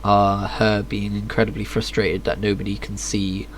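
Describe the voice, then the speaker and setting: A male game streamer with a slightly low voice, commenting casually and dryly as he plays. There is faint noise and game ambience behind him. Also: level tone, moderate pace, rough recording. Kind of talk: live game stream commentary, reading quest text aloud.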